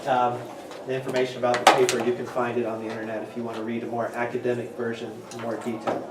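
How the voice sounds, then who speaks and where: A man's voice: mid-range voice, monotone, fast. A middle-aged man presenting informally to a workshop group. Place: large meeting room with windows and tables. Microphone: distant camera microphone. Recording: distant, echoey, noisy room recording.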